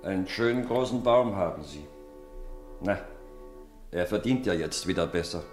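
An actor playing a poor, gruff but tender-hearted father in a 1950s radio play. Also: low voice, animated, normal speed, a little mellow. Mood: reassuring. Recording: roomy old radio recording with faint music.

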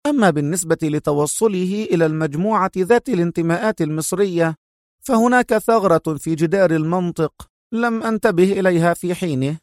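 A haughty, analytical woman operative from a spy story , acted out by a male narrator. The pitch sits well up and the delivery is cool and decisive. The sound is dry, with no room around it. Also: a little fast, no echo, natural voice. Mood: intent, skeptical.

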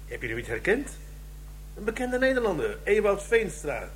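A man's voice: sits high, sing-song, moderate pace, slight background noise, rough recording. A man playing a businesslike, observant police detective in a Dutch radio crime drama, speaking in a matter-of-fact way.

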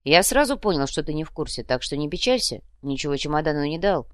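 A woman's voice: deep voice, lively intonation, fast, no echo, studio quality. Woman as a shrewd, wry male trader. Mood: calm, wry. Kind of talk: audiobook character voice.

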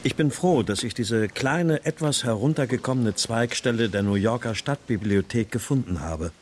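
An actor playing a troubled, weary writer in a radio drama, speaking in a sober, measured, introspective way. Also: male voice, mid-range voice, a little mellow, a little hiss or hum, lightly acted. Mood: brooding, weary. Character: troubled male writer recounting his daughter's disappearance, brooding, weary. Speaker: narrator and lead character. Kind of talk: radio drama, introspective first-person narration and dialogue.

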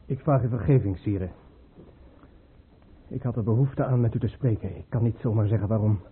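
Worried dutiful prince in an old radio drama, polite and formal, husky, muffled phone-like sound. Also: male voice, lowish voice, normal speed. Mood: subdued, pleading. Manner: formal, deferential, troubled. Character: anxious, dutiful crown prince, submissive son. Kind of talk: radio play acting.

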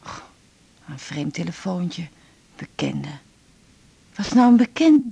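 An actress playing a wary, flustered married woman in a radio drama, speaking in a halting, guarded way. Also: fairly low pitch, big pitch swings, creaky, dark tone, a little hiss or hum, exaggerated voice. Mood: puzzled. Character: wary, flustered wife answering a stranger's phone call. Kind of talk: naturalistic radio drama dialogue. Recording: dry, dull radio recording.

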